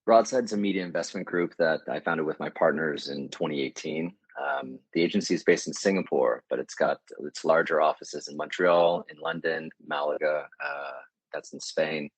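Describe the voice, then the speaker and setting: A man's voice: very deep voice, moderate pace, breathy edge, dry recording. A man in his thirties with a Canadian accent, answering interview questions in a casual, thoughtful, slightly hesitant way. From Canada, Prince Edward Island. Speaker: podcast guest, media agency CEO.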